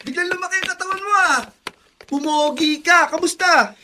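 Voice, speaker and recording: A man's voice: very high voice, normal speed. A hearty young Filipino older brother in a radio drama. He talks in an easygoing, familiar way, with faint noise in the recording. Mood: hearty, welcoming.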